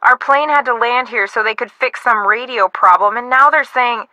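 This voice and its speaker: An actress with an American accent playing an excitable, impatient, dramatic young woman in a video game. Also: a little high, normal speed, no echo, poor audio. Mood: complaining. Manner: gushing, exclamatory, dramatic.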